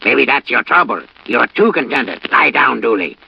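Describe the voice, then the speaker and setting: A man's voice: medium pitch, lively intonation, normal speed, creaky. A male actor playing a coaxing, commanding hypnotist in a comic radio commercial. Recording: dull, noisy old radio recording.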